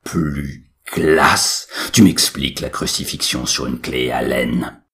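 A man voicing God as an all-powerful, angry father, with a deep, firm, roaring voice in an audio story. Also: creaky, a bit bright, slight background noise, full character voice. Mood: indignant. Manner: commanding, slow roaring delivery.

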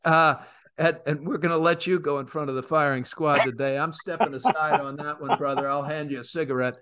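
Blunt man with a bright, high voice. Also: fairly even intonation, fairly quick. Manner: blunt, incredulous. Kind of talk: casual chat.

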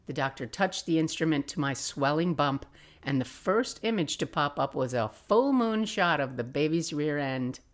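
A woman in her sixties narrating personal memories as a podcast host, reflective and expressive with wry asides. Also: low-pitched, normal speed. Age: 60s. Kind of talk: personal memoir storytelling, scripted narration.

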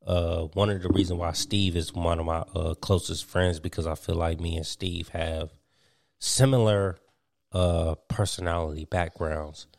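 A man's voice: low voice, steady tone, a little slow, gravelly, darkish tone. A young man from Virginia hosting a casual podcast chat, rambling with lots of fillers and laughing often. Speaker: podcast host.

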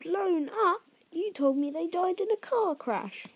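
A woman's voice: very high-pitched, expressive, takes it slowly, warm tone, pitched above the performer's own voice, heavily acted. A woman voicing an earnest young boy in a storybook, blurting urgent, shocked questions. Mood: disbelieving.

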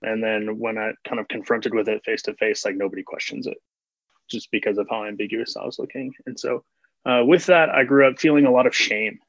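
A man's voice: on the low side, steady tone, brisk, no echo. A young man speaking as a panel guest, earnest and open about personal things. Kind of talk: casual reflective discussion. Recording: dull dry recording.

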